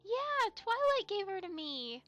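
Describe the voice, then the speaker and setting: A woman's voice: very animated, a little slow, fairly bright tone, soft background music, heavily acted. A woman voicing a shy, earnest young filly in an audio drama, childlike and a little hesitant. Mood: excited, curious. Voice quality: childlike, high. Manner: hesitant, earnest, stammering over confessions. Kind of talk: fan audio drama acting. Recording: slightly dull, lo-fi microphone.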